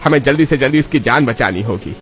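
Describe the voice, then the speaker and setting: A man playing a kindly elderly village priest in a dramatized moral story, speaking in a fatherly, grave and expressive way. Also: mid-range voice, fast talker, faint music behind, close to an everyday voice. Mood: grave concern.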